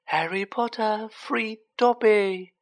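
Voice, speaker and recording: A storybook reader voicing a small male house-elf servant with a shrill, squeaky voice and a devoted, wide-eyed manner. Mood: tearful joy. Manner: slow, drawn-out, wide-eyed, speaks of himself in third person.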